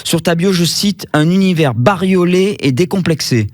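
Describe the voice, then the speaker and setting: A man's voice: sits high, normal speed, darkish tone, dry recording, studio quality. A man hosting a radio show, asking short, light questions and joking with his guest. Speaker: radio host. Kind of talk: radio interview. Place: radio studio.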